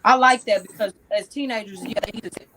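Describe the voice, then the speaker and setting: A woman's voice: mid-range voice, brisk, fairly bright tone, slight background noise, rough recording. Frank, folksy woman hosting a call. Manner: frank, folksy, addresses listeners as ladies and sis. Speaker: discussion host. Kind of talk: casual group discussion. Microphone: online call mic.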